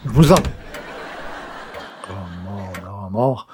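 An actor playing a polite, put-upon newspaper columnist with a Québécois accent, dryly ironic and hesitant, in a radio comedy serial. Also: male voice, lowish voice, expressive, slow, slight background noise. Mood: bemused. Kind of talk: comedy radio serial acting in 1940s manner.

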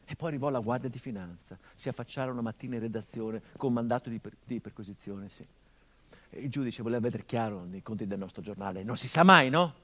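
A male actor delivering a theatrical monologue as a son remembering his father, measured and intimate, swelling into urgency. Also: mid-range voice, fairly bright tone, lightly acted.

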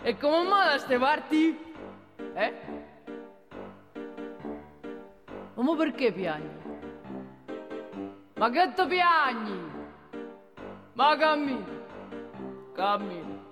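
A woman's voice: high-pitched, sing-song, takes it slowly, pauses often, big-room sound, music in the background, heavily acted. A woman playing an older male Roman security guard in a comic stage monologue, colloquial, pleading and exasperated, with a Roman accent. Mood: surprised, coaxing.

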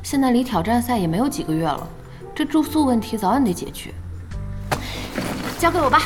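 An actress playing a spirited, outspoken young female boss in a radio drama, with a brisk and expressive manner. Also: a little high, soft background music. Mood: decisive. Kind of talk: Chinese radio drama acting.

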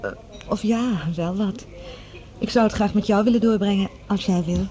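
An actress playing an earnest, vulnerable scientist on a Mars project in a Dutch science fiction radio drama. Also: female voice, mid-range voice, steady tone, moderate pace, dark tone, dull audio, lightly acted. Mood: tender, grateful.

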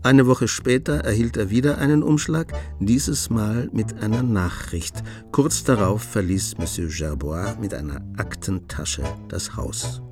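A man tells the story as narrator of a radio drama. His voice is fairly low and follows a written script, with soft music underneath. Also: unhurried, hardly any pauses.

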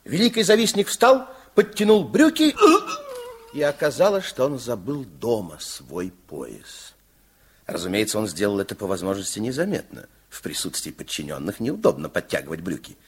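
A man's voice: medium pitch, very animated, moderate pace, a little mellow, breathy edge. A man playing a playful fairy-tale narrator in an old radio play, reading scripted storybook narration. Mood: intriguing. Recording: old radio drama with music.

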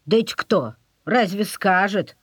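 A woman's voice: mid-range voice, lively intonation, slow pace, breathy edge, dry recording, clean studio sound. A woman playing a sleepy, pitying peasant nanny with a folksy, muttering manner in an audio play. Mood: weary. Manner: folksy, plain, sorrowful muttering.

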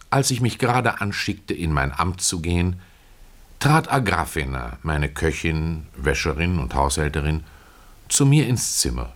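Male narrator for literary fiction: low register, animated intonation, measured expressive delivery. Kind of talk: literary story reading, first-person scripted narration.

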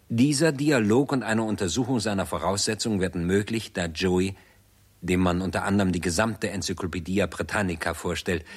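A male narrator of a 1960s radio drama, reading formally and with measure. His voice is on the low side and noticeably husky.

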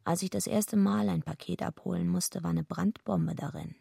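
A woman's voice: on the low side, moderate pace, creaky, dark tone, breathy edge, dry recording, studio quality, exaggerated voice. An actress playing a tough, streetwise young woman, narrating a radio drama in a wry, matter-of-fact way.

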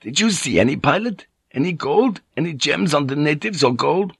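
A man's voice: on the high side, expressive, normal speed, creaky, a bit bright, slightly rough, dry recording, studio quality, pitched above the performer's own voice, full character voice. A man voicing an anxious, greedy merchant sailor in an audiobook story, fretful and eager.

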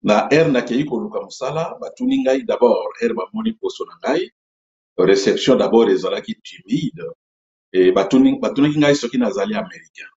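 A Congolese man hosting his own channel, explaining step by step in an unhurried way with many pauses and fillers. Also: mid-range voice, big pitch swings, gravelly, darkish tone. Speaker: YouTube channel host. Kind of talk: explanatory talk to camera. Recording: echoey room, dull lo-fi sound.

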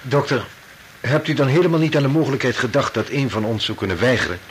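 Sober Dutch scientist played by a man, middle-pitched, polite but probing; radio drama, faint background noise. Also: quick pace, close to an everyday voice. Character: sober, questioning male medical researcher. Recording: slightly noisy old radio recording.

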